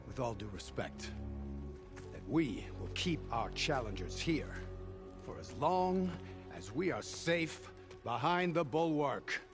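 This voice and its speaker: Casting: haughty warrior clan leader, unhurried, weighty delivery, defiant, game drama over prominent music. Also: male voice, medium pitch, big pitch swings. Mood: scornful. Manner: haughty, declamatory. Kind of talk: dramatic video game acting. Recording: loud music behind the voice.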